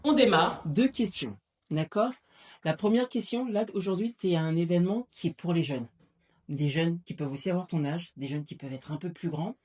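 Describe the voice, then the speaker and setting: An upbeat young woman with a slightly low voice gives instructions as an interviewer. The room is echoey, and faint clicks can be heard. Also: a little fast, a little mellow. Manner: upbeat, encouraging. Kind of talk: giving instructions.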